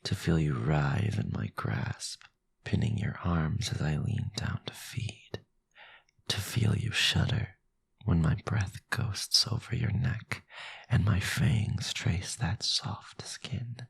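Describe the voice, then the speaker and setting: Deep, breathy, sinister vampire lord. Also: male voice, expressive, dry recording, in a whisper, heavily acted. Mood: hungry, seductive. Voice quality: breathy, gravelly.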